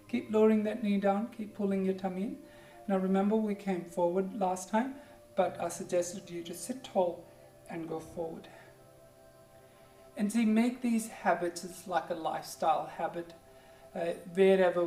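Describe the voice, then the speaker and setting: Yoga instructor, forties, Indian accent, English second language, encouraging cues, roomy echo, faint music underneath. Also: mid-range voice. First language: Hindi or Punjabi. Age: 40s. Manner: gentle, encouraging, keeps saying "good", simple repeated cues. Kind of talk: guided yoga class with pep talk. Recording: echoey room, distant mic, faint music.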